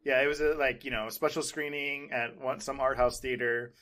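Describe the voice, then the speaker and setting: A man's voice: medium pitch, steady tone, fairly quick, fairly bright tone. A man hosting a podcast, chatting casually about film and TV in a rambling, hesitant way. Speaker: podcast host.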